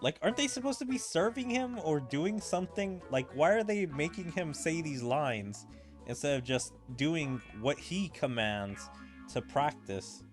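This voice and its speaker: A man's voice: a little high, lively intonation, faint music behind. A young man streaming a game, chatting in an amused, casual way about what happens on screen. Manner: chatty, amused, quick laughs. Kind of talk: casual gameplay commentary.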